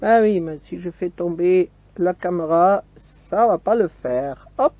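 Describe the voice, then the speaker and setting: A woman casually showing her crochet work step by step, often pausing on "voilà", talking from a camper van table with the camera on her hands. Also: lowish voice, lively intonation, dry recording, muffled recording. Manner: slow, step-by-step, fond of "voilà". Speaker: crochet vlogger. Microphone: camera filming her hands from close.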